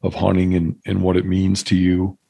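A man in his fifties hosting a podcast, asking questions haltingly with many restarts. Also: deep voice, completely flat delivery, creaky, mellow, slightly rough. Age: 50s. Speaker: podcast host. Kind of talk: casual interview.

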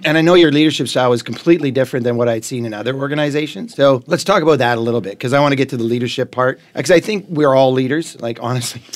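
Male podcast-style interviewer, average pitch, conversational, wordy lead-ins with repeated phrases. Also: quick pace. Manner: rambling, repeats words while building up to questions.

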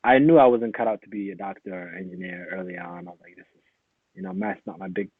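A man's voice: sits low, quick pace, slightly rough, dry recording. Man on a tinny phone-like line. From Somalia. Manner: relaxed, rambling, many fillers and restarts. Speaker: guest. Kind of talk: casual conversational interview.

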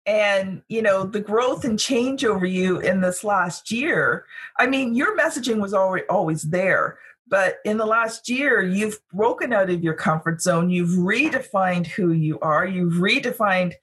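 A woman's voice: mid-range voice, animated, a little slow, a bit bright, breathy edge. A woman in her fifties hosting a casual group discussion, encouraging the others and steering the conversation. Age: 50s. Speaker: host.

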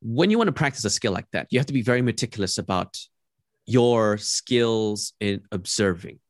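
A young man from Malaysia, a podcast guest giving thoughtful, measured answers with frequent restarts. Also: on the low side, expressive, no echo, studio quality. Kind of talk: reflective interview answers.

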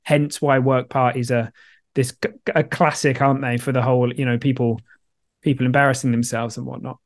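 A man's voice: medium pitch, little pitch movement, fairly quick. A man co-hosting a podcast, a coach who talks in a rambling, chatty way. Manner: rambling, chatty, self-deprecating. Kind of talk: casual podcast chat.

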